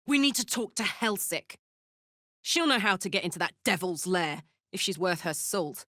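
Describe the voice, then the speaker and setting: A woman playing a brash, warm-hearted, tough tiefling warrior in a video game, speaking in a blunt, slangy, heartfelt way. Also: lowish voice, expressive, moderate pace, dry recording, clean studio sound. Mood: blunt, defiant. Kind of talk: video game character voice acting.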